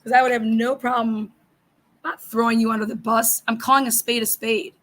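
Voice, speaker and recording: A middle-aged woman hosting a casual livestream, hoarse and raspy, reading viewer comments and ranting bluntly with lots of swearing. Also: fairly high pitch, flat delivery, moderate pace, a bit bright, rough recording. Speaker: livestream host.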